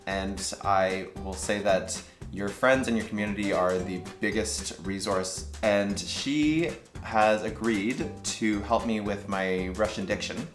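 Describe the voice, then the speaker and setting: A man's voice: on the low side, slow, echoey room, soft background music. A man, an opera singer, explaining his learning process to camera in an earnest, explanatory vlog style.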